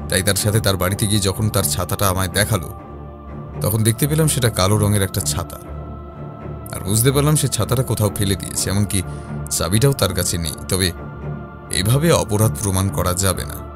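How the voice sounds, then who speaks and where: A man playing a composed detective who recounts his investigation in a measured, explanatory first-person narration. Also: on the low side, lightly acted. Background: background music.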